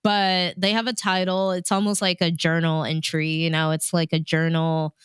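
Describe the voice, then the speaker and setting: A woman chats casually as an interview guest. Her voice is a touch low and remarkably clear and resonant. The recording is dry and close, with very little room sound. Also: little pitch movement, moderate pace, no echo. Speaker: radio DJ and show host, interview guest.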